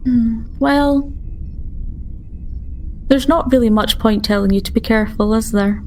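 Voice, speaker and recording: A woman playing a stern but caring starship medic in a tabletop game, measured and admonishing. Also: on the high side, darkish tone, slight background noise. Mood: concerned, suspicious.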